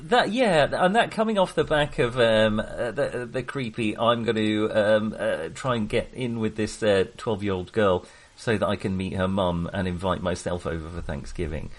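A man chatting casually about films as a podcast co-host, in a halting, rambling manner. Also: on the low side. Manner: halting, rambling, often chuckling. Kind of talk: casual film discussion.

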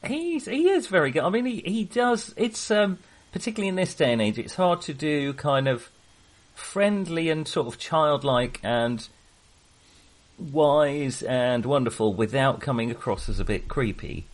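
A man's voice: on the high side, lively intonation, measured pace. A man hosting a casual film discussion, speaking hesitantly and often correcting himself as he digresses. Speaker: podcast host.

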